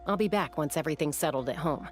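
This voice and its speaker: A self-assured older sister in a video game, played by a woman. Her voice sits fairly low, measured and unruffled, over faint music. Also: close to an everyday voice. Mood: reassuring.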